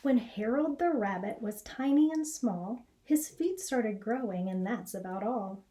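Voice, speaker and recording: An American woman reads a children's picture book aloud. Her voice sits fairly high and she takes her time, telling the story expressively. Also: expressive, a little slow, warm tone, low-quality recording. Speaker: elementary school educator reading aloud. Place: small indoor classroom or office.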